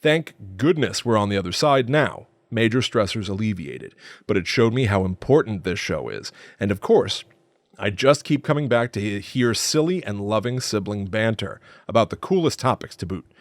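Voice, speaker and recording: A man speaks as a podcast co-host in a casual, conversational style. His voice sits fairly low, and the sound is dry with little room echo. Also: lively intonation, moderate pace.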